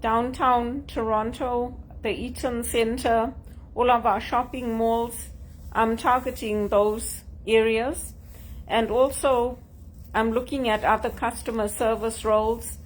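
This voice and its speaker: A woman from Zimbabwe with a Zimbabwean accent, talking to camera in an earnest, measured way about job hunting. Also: a little high, slight background noise, rough recording. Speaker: job seeker sharing tips. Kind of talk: casual talk to camera.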